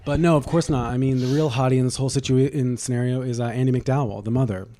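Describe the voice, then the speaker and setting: A man's voice: medium pitch, fairly even intonation, quick pace, mellow, a little hiss or hum. A man co-hosting a podcast, bantering casually with an irreverent, gossipy, joking manner. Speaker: podcast co-host.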